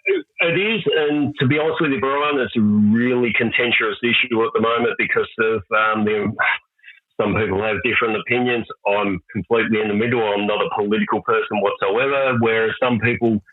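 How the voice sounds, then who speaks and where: An Australian man being interviewed as a guest, relaxed and easygoing with frequent fillers. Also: on the low side, a little fast, dry recording. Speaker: guest, mowing business owner. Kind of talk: casual interview chat. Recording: tinny phone-like call audio.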